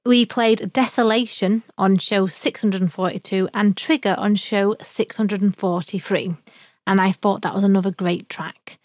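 A woman's voice: medium pitch, fairly even intonation, unhurried, no room sound, clean studio sound. Woman back-announcing tracks on a podcast. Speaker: podcast co-host.

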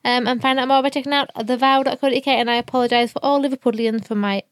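A woman co-hosts a music podcast, slightly high-voiced and relaxed. She sounds close to the mic in a dry studio. Her delivery is easygoing and a little giggly. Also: very fast, no room sound. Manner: relaxed, giggly, reads out song blurbs. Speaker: podcast co-host. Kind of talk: casual music podcast chat.

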